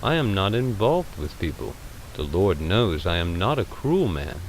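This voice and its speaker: A man voicing a mild, detached, gently bemused male recluse, quiet and polite, in an audiobook reading. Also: low voice, sing-song, measured pace, a little hiss or hum, exaggerated voice, rough recording. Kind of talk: audiobook character voice.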